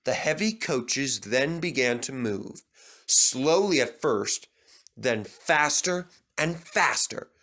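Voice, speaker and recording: A man's voice: medium pitch, lively intonation, slow, pushed and bright. A man narrating a scripted children's story as a storybook reader.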